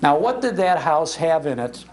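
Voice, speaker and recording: An older American man giving an informal, anecdotal public talk in an animated, conversational manner. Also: a little high, normal speed, over a noisy crowd. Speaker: speaker on old-time radio history, broadcaster. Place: public library talk before an audience.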